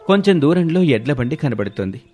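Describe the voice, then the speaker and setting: A man's voice: on the high side, big pitch swings, fairly quick, a little mellow, soft background music. A man reading a scripted children's moral story as narrator.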